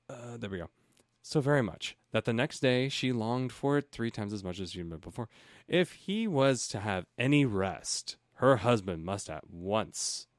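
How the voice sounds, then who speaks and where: Male comedy narrator, animated and bright, storybook reading with joking asides, very dry close sound. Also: fairly low pitch, dry recording. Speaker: host and narrator of parody audiobook show.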